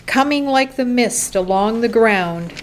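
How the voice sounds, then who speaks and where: A woman narrating a radio play in a measured, deliberate storytelling style. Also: a little high, lively intonation, slight background noise, rough recording.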